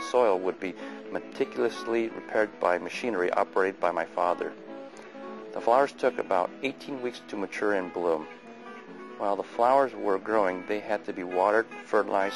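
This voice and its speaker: Low gravelly-voiced man in his fifties. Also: rough recording. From Sunnyvale, California. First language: Chinese. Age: 50s. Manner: measured, plain, reflective. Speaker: oral history narrator. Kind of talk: reading a prepared memoir, then unscripted recollection.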